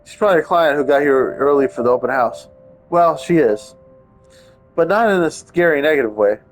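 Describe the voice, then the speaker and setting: Higher-pitched man streaming a game, wry. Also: expressive, brisk, low-quality recording. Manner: wry, sarcastic asides. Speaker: game streamer playing a visual novel. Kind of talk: casual let's-play commentary with narration read aloud. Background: game background music.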